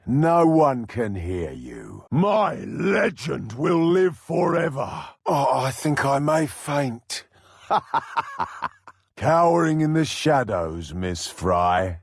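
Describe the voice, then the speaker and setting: A man playing a menacing, sadistic serial killer villain in a video game, theatrical and taunting, with a raspy, gravelly voice. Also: mid-range voice, dry recording, clean studio sound, full character voice. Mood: mocking, taunting. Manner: taunting, theatrical, drawn-out delivery.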